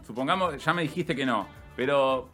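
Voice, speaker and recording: A man with an Argentine accent hosting a casual sports chat, teasing and animated as he tells stories. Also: mid-range voice, moderate pace, soft background music. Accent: Argentine Spanish.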